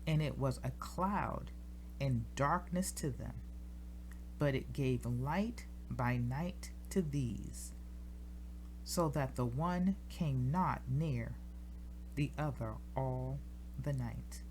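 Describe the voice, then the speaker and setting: A woman reads scripture aloud in a solemn, measured style, pausing deliberately between short phrases. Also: rumbling low, a little mellow, slight background noise, poor audio. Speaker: scripture reader. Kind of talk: solemn scripture reading from the King James Bible.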